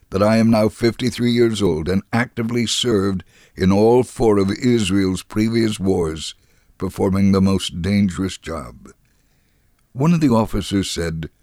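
An actor playing a middle-aged Israeli army veteran and father, telling his story in a measured dramatic reading. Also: on the low side, darkish tone, dry recording, clean studio sound. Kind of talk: dramatic first-person reading.

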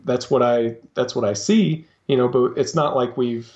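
A man's voice: medium pitch, moderate pace, darkish tone. Man, hesitant coach on a podcast. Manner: hesitant, many fillers and restarts. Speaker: strength coach, podcast guest. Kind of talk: casual interview talk. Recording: slightly dull lo-fi sound.

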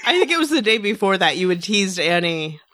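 A woman's voice: mid-range voice, very animated, a little fast, fairly bright tone. A woman co-hosting a fan podcast, chatting casually with a teasing manner. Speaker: podcast co-host.

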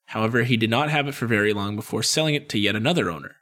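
A man's voice: lowish voice, rapid-fire, a bit bright. Casual American man, jokey podcast storyteller. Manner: slangy, jokey, playful. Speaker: podcast co-host. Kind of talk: casual comedic storytelling, reading a script with asides.

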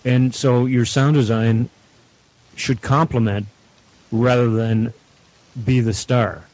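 An older man from Canada, a veteran producer, giving his view on a panel in deliberate, orderly phrases. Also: medium pitch, steady tone, a little mellow, low-quality recording. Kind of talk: casual panel discussion.

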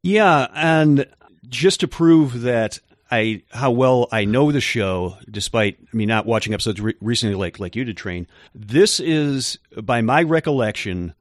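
A man co-hosting a podcast, explaining things in a measured way with occasional hesitant fillers. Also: medium pitch, lively intonation, no echo, clean studio sound. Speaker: podcast co-host. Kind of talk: casual chat.

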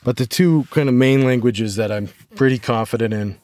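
An American man hosting a podcast solo, talking reflectively and haltingly as he thinks aloud. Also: mid-range voice, darkish tone. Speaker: podcast host.

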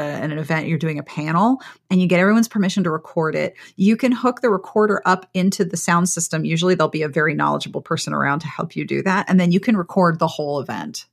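A woman hosting a podcast, chatting casually and effusively with her guests. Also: lowish voice. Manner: effusive, enthusiastic. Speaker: podcast host, interviewer. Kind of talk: casual chat.

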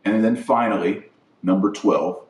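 An American man delivering a political monologue as a podcast host, emphatic and deliberate, stressing his phrases with pauses. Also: fairly low pitch, darkish tone. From United States. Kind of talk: political platform monologue, speech-like. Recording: roomy echo, distant from mic.

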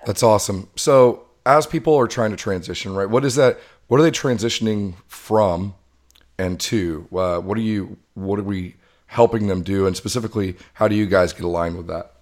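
Hoarse deep-voiced male co-host. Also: normal speed, darkish tone. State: hoarse from a cold. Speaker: podcast co-host. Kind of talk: casual podcast chat.

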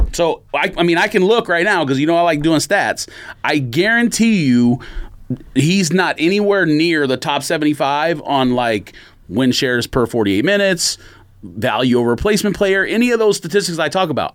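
Animated, high-pitched man arguing sports. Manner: argumentative, emphatic. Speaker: sports podcast co-host. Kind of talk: heated sports debate.

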